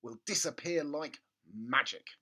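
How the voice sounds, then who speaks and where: A man puts on an emphatic product-label voice for a children's storybook. It declares each instruction and promise like an advertisement, in a sales-pitch manner. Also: measured pace, sharp tone, exaggerated voice. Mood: emphatic, promotional.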